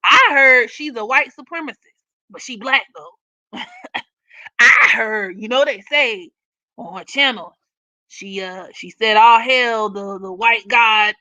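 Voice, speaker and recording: Raspy, bright-toned woman on a live stream. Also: a little high, takes it slowly, no echo, poor audio. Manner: blunt, profane and opinionated, laughs often. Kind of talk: casual live stream chat reading comments.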